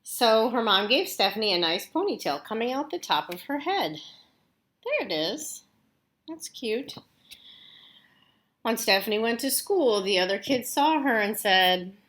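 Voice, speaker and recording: A woman's voice: medium pitch, big pitch swings, measured pace, fairly bright tone. A woman teacher reading a storybook aloud expressively, with casual asides spoken directly to young listeners.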